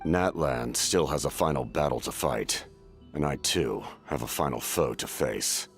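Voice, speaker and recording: An actor voicing a grave, honourable ancient warrior captain in a fantasy game, speaking in a deep, solemn, measured way. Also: male voice, animated, soft background music, full character voice. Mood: grave, resolute. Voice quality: deep, weighty. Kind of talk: dramatic fantasy game acting.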